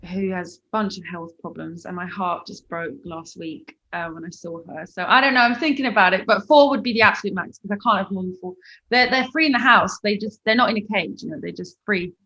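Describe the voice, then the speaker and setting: A woman's voice: mid-range voice, brisk, pushed and bright, highs cut off. A woman from Switzerland, a musician guest chatting casually and bubbly in an interview.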